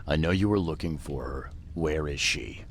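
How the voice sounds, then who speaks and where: An actor playing a world-weary private detective in a video game, with a dry, blunt, laconic noir delivery. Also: male voice, a bass voice, normal speed, a little hiss or hum. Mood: probing.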